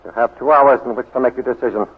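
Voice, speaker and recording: An actor playing a cold, imperious Japanese baron in a 1940s radio drama, speaking formally and gravely. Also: male voice, medium pitch, steady tone, quick pace, close to an everyday voice. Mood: coldly menacing. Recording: hollow, lo-fi 1940s radio recording with long reverb.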